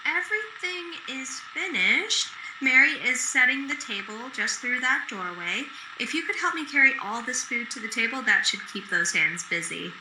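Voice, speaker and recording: A woman's voice: high-pitched, lively intonation, moderate pace, hardly any pauses. A woman playing a friendly, hospitable mother in an old-time radio drama, with a homely manner. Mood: welcoming. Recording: roomy echo, noisy background.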